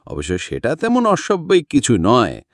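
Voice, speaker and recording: A man's voice: mid-range voice, huge pitch swings, moderate pace, no room sound, clean studio sound, voice pushed up. A man voicing a composed private detective in an audiobook, analytical and assured as he speaks. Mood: deliberate, analytical. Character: sharp, composed male private detective questioning witnesses.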